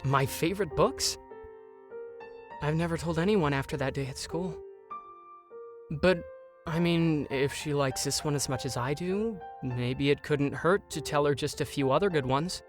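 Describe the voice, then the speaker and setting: A man's voice: on the high side, measured pace, soft background music, natural voice. A man playing an anxious, bookish young prince in a dramatic fandub, with a hesitant, overwrought delivery. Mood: pensive. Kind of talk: dramatic fandub acting with inner-monologue narration.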